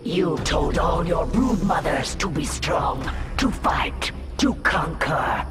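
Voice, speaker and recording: A woman's voice: deep voice, expressive, slow pace. A woman voicing a proud, fierce alien brood mother in a video game, with theatrical, declamatory delivery and creature processing. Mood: pleading, proud. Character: Zagara, proud fierce female alien brood mother, defeated yet loyal. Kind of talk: dramatic video game acting. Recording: echoey, distant, loud game music behind.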